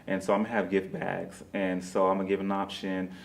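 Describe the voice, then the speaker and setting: A man's voice: sits low, completely flat delivery, a little fast, gravelly, a little hiss or hum. A man speaking casually as an interview guest, promoting a show in informal wording and repeating phrases for emphasis. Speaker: interview guest, stage play producer.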